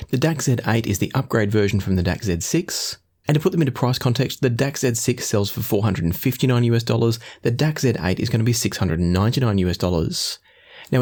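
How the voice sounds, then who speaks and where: A man's voice: lowish voice, a little mellow. A man hosting an audio gear review, explaining products in a methodical way.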